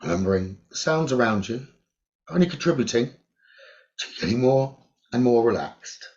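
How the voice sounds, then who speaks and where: A man leading a guided meditation, speaking slowly and soothingly, repeating his gentle instructions with long pauses. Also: medium pitch, expressive. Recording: dull lo-fi recording.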